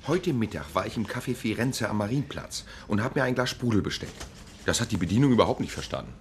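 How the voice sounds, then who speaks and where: An actor playing a dry, grumbling junior police detective in a radio play. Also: male voice, on the low side, expressive, fast, slight background noise.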